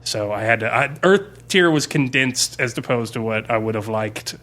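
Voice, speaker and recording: A man's voice: medium pitch, expressive, moderate pace, fairly bright tone. A man in a casual podcast chat, telling stories as a game master in an informal, rambling way. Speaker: game master discussing a campaign he ran.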